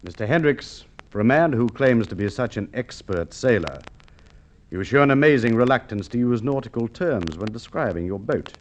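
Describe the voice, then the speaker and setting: An actor playing a shrewd police inspector questioning a suspect, in an old radio drama. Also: male voice, mid-range voice, animated, slight background noise, natural voice. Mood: accusing. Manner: dry, probing, measured. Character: shrewd middle-aged male police inspector. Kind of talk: 1950s radio drama acting.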